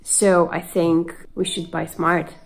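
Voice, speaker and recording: Woman, 30s, low gravelly voice, earnest, small slips in English, webcam, faint music behind. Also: little pitch movement, slow pace. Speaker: film director. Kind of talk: video call interview. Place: home room indoors.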